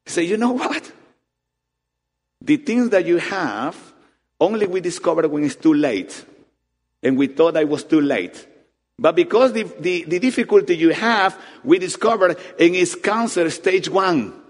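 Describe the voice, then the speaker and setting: Animated preacher in a reverberant hall. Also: male voice, sits high, measured pace. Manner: animated preaching with rising emphatic peaks and soft asides. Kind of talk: sermon. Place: church hall. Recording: long reverb of a church hall.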